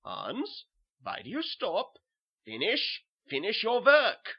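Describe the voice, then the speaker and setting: A husky, slow-spoken German master , acted by a man urges and orders in a horror tale. His put-on German accent swings dramatically up and down, sly and falsely jovial, yet imperious. The recording is dull and lo-fi. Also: high voice, huge pitch swings, voice pushed up, exaggerated voice. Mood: insistent, imperious. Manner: coaxing, insistent, imperious. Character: sly, commanding male German butcher and officer, falsely jovial. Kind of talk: theatrical horror story acting.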